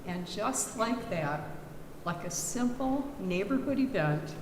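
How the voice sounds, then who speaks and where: A woman presenting and reading poetry aloud to an audience, with expressive, measured delivery. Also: medium pitch. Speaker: readers theater presenter and poetry reader. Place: reverberant church, live reading. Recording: roomy church hall, clear background noise.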